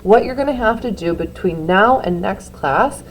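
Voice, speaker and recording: A woman teacher talks her class through a sketching assignment, relaxed and encouraging, with plenty of filler words along the way. Her voice sits at a middle pitch. A faint hum of room noise runs behind her. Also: moderate pace, rough recording. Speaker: art teacher. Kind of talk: classroom instruction video.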